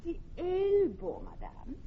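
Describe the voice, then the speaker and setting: An actress playing a deferential, flattering French lady-in-waiting in a radio drama, politely encouraging. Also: very high voice, takes it slowly, vocal fry, exaggerated voice. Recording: dull, noisy old radio recording.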